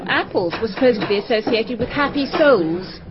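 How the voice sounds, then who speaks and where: A woman's voice: a little high, animated, moderate pace, background noise, lightly acted. A woman as a stern folklore-minded schoolteacher. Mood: lecturing. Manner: lecturing and firm. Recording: dull archive radio recording.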